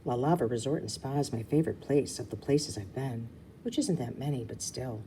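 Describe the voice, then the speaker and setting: A woman's voice: extremely low pitch, lively intonation, brisk, dark tone, a little hiss or hum. A woman reading a children's story aloud expressively, slipping into casual asides. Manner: breaks off for casual asides and explanations. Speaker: book reader.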